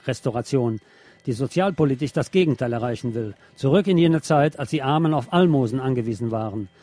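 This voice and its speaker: Mellow-voiced man reading the news. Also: mid-range voice. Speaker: radio presenter reading news and a printed interview.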